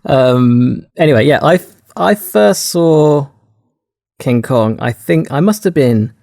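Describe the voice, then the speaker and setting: Creaky-voiced man, hesitant podcast chat. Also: medium pitch, lively intonation, slow pace, darkish tone, dry recording, studio quality. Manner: hesitant, tentative. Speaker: podcast co-host. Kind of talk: casual film discussion.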